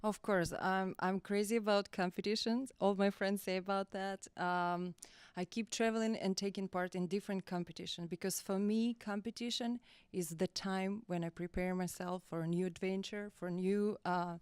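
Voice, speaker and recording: A young woman from Russia with a Russian accent, guest in a casual interview, talking haltingly, searching for words and laughing often. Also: mid-range voice, fairly even intonation, clean tone, dry recording. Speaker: guest belly dancer.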